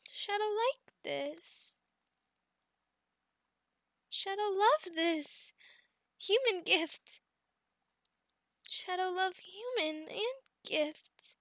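Squeaky-high, sweet little goblin girlfriend. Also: dry recording, studio quality, heavily acted. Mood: devoted, tender. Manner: broken third-person speech, halting and excitable. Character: sweet, childlike, devoted female goblin, adult, shy and eager. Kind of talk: ASMR roleplay.

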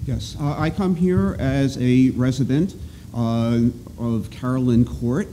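A man giving halting, opinionated, emphatic testimony off the cuff at a podium microphone in an auditorium. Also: medium pitch, warm tone, low-quality recording. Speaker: resident and economist giving public testimony. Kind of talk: halting off-the-cuff public speech. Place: large auditorium. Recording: clear background noise in auditorium.

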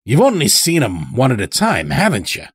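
A man's voice: mid-range voice, wildly swooping pitch, normal speed, breathy edge, no room sound, clean studio sound, pitched above the performer's own voice. A man voicing a sly, weary old stranger in an audio story, with a folksy, rambling way of talking. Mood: ominous, knowing. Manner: folksy, rambling, dropped words.

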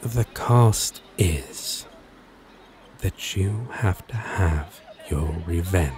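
A man playing a lovesick, teasing demon in an intimate ASMR audio roleplay, halting and self-deprecating, with long pauses. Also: low voice, animated, darkish tone, heavily acted. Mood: grave, reluctant.

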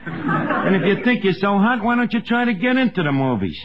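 Animated New wisecracking tavern manager. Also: male voice, high voice, brisk. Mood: sarcastic. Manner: mangles words pompously. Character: scheming, vain, wisecracking male tavern manager. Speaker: lead actor in a radio sitcom. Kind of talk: 1950s radio comedy acting. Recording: noisy, dull 1950s radio recording.

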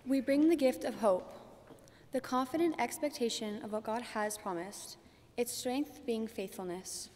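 High-voiced teen girl at church podium. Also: measured pace, darkish tone, slight background noise. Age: teenager, about 14. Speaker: graduating student speaker. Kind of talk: reading a prepared ceremony speech. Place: large church, indoors. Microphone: podium microphone. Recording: long church hall reverb.

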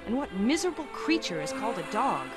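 A woman's voice: on the high side, very animated, fast, loud music behind the voice. A woman playing a defiant, exasperated captive in a sci-fi radio serial. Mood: defiant, appalled.

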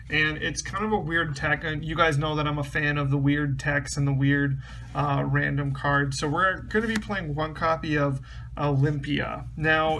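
A man's voice: on the high side, pushed and bright, a little hiss or hum. A man casually explaining a trading card deck, rambling with many ums and restarts, his voice a bit strained. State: slightly losing his voice, clears throat. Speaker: card game deck reviewer.

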